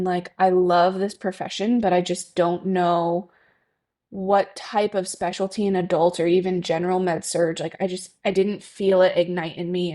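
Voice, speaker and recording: A woman's voice: on the low side, dark tone. A woman from Connecticut who works as a nurse, talking as a podcast guest in a chatty way with many ums and likes. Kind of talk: conversational interview.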